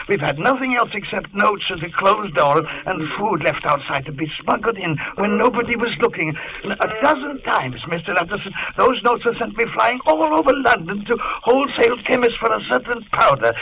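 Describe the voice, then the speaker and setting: Nervous manservant, high tense voice, deferential and urgent, 1940s radio drama style. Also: male voice, expressive, moderate pace, vocal fry, sharp tone, slight background noise, full character voice, low-quality recording. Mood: worried, agitated. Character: anxious, deferential male household servant. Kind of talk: 1940s radio horror acting.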